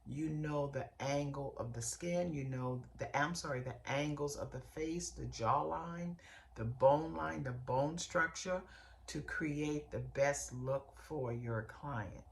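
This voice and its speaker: A hoarse middle-aged woman with a cold, her voice low and gravelly. She talks slowly and chattily, speaking straight to her listeners as she hosts a podcast lesson, with a faint ambient hiss behind her. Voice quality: raspy, bassy voice. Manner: warm, chatty, direct address to listeners. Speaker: podcast host, hairstylist and coach.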